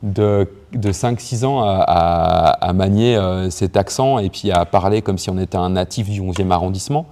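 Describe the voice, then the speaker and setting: A man's voice: low-pitched, moderate pace, few pauses, dark tone. A young man, a writer from France, talking about language in an interview, hesitant with many restarts and fillers. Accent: neutral Parisian French. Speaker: writer and poet.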